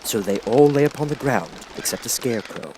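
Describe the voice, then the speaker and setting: Male audiobook narrator, scripted storytelling, expressive, dramatic, animated intonation, faint background noise. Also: mid-range voice, brisk.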